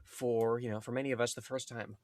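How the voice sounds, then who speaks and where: Halting, wordy man, close dry sound. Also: mid-range voice, little pitch movement, no room sound. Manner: halting, repetitive, wordy and intellectual. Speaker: podcast co-host. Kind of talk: casual discussion.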